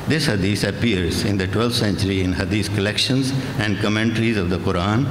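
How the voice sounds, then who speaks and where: Older man lecturing from a podium in an echoey hall. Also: fairly low pitch. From Pakistan. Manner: measured, deliberate reading with occasional restarts. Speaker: keynote speaker. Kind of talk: academic lecture read from notes. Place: large conference hall, at a podium. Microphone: podium microphone on a stand. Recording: echoey hall recording with audible room noise.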